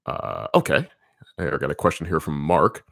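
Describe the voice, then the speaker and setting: Deep-voiced man rambling casually. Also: normal speed, gravelly, dry recording, studio quality. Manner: relaxed, rambling, thinking aloud with many fillers. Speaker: comics writer answering viewer questions. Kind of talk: casual livestream Q&A.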